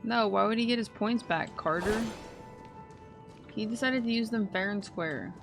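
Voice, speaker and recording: A woman's voice: mid-range voice, normal speed, vocal fry. A woman livestreaming a game in casual, slangy chat, thinking aloud and swearing freely as she reacts. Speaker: game streamer reacting to play. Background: game music.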